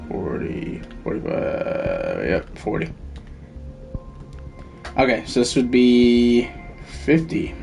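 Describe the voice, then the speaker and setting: A man's voice: on the low side, creaky, darkish tone. A man giving casual live gameplay commentary, thinking aloud in an informal way. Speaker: game streamer. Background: faint game music.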